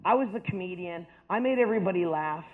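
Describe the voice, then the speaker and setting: A man in his fifties from the United States with a notably high voice tells his life story to a crowd. He speaks earnestly and conversationally, tossing in "like" and "right?" as he goes. The sound is thin and narrow, like a phone line. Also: animated, fast talker. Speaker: speaker giving a personal testimony. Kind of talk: personal testimony talk to an audience.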